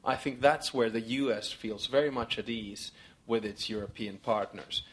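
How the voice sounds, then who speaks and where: A man speaking as a conference panelist, answering questions in a measured way and listing his points one by one. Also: on the low side, a little hiss or hum.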